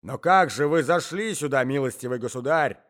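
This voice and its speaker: A man voicing a fussy, indignant old husband in a story, shouting, repeating himself and sputtering. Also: fairly high pitch, sing-song, normal speed, pitched above the performer's own voice.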